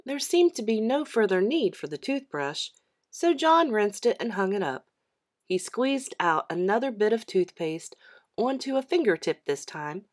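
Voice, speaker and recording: Children's audiobook narrator: woman, medium pitch, lively storytelling intonation. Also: measured pace. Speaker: storyteller reading aloud.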